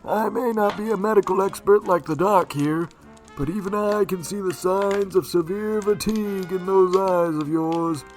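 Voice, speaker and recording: A man's voice: high-pitched, normal speed, soft background music, lightly acted, poor audio. A man playing a blunt, protective masked hero in a radio drama. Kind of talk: fan radio drama acting.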